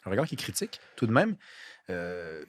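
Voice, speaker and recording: Male podcast host, Québécois French accent; relaxed, informal, lower-pitched questioning. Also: a little fast. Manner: casual, informal address, hesitant fillers. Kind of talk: conversational interview.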